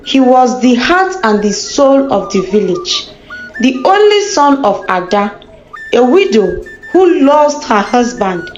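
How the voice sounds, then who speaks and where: A woman storyteller reading a scripted folktale in a measured, careful way, in a dull, echoing lo-fi recording. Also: on the high side. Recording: dull lo-fi sound, roomy echo. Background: background music.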